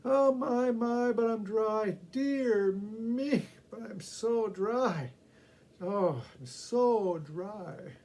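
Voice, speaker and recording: Man putting on a high, wailing thirsty woman. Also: warm tone, full character voice. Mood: plaintive whining. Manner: drawn-out, repetitive, sing-song lament. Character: very thirsty woman passenger, whining a perpetual complaint.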